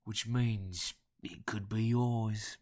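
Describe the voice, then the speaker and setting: A man's voice: fairly low pitch, steady tone, extremely slow, a little mellow. Man acting a guttural, nasal Cockney rogue. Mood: sly, unhurried. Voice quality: guttural, nasal, hoarse, scratchy smoker's voice. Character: gruff hard-drinking London man, sly and insistent.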